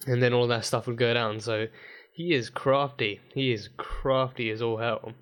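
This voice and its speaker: Croaky-voiced man rambling through a review. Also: mid-range voice, fairly bright tone. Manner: rambling, many fillers and restarts. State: dry, croaky throat with coughs. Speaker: TV episode reviewer. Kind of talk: casual reaction video recap.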